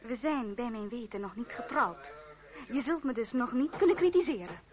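Woman as a haughty young fiancée, high voice. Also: lively intonation, normal speed, lightly acted. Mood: defiant, scornful. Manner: tart, dismissive. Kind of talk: theatrical radio drama acting. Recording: dull old radio recording, crowd behind.

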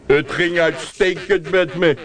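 A man's voice: a little high, steady tone, takes it slowly, fairly bright tone, distant mic. A man playing a timid, flustered police deputy who stammers and protests in a Dutch radio comedy. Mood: exasperated.

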